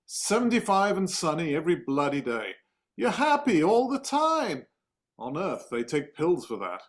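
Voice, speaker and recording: A man's voice: fairly high pitch, huge pitch swings, moderate pace. Man playing a stern, condescending boss. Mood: scolding. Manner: curt, condescending, firm. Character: stern, dismissive male office boss. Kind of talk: dramatic table-read acting.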